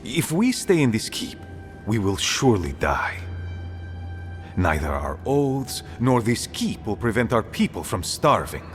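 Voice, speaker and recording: An actor playing an aging dwarf, a weary, pragmatic conspirator speaking gravely and earnestly in a fantasy game. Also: male voice, lowish voice, sing-song, unhurried, soft background music. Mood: bleak, urgent. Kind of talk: dramatic game dialogue.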